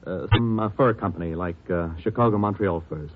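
A man's voice: sits low, a little fast, slight background noise, lightly acted. An actor playing an evasive, defensive man who stammers nervously in a 1950s radio drama. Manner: stammering, agitated. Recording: dull old radio broadcast.

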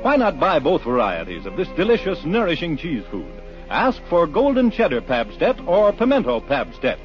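A man's voice: a little high, wildly swooping pitch, normal speed, faint music behind. An American man reading a scripted 1940s radio commercial as announcer. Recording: muffled 1940s radio recording.

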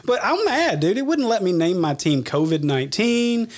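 A man's voice: high voice, big pitch swings, moderate pace. A man hosting a casual podcast from a small home studio, laughing often and swearing freely. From Arkansas, USA. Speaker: podcast host.

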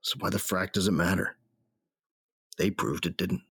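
Deep-voiced grieving bounty hunter. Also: male voice, steady tone, normal speed, bright, forceful tone, slightly rough, no room sound, studio quality, heavily acted. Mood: somber reflection. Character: grieving, bitter bounty hunter narrating his memories. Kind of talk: first-person audio drama narration.

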